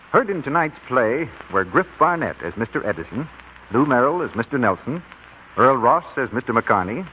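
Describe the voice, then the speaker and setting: A tinny vintage radio recording with faint noise carries an American male announcer. His mid-pitched voice swings up and down in the polished, emphatic style of 1940s advertising. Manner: polished, emphatic sales delivery.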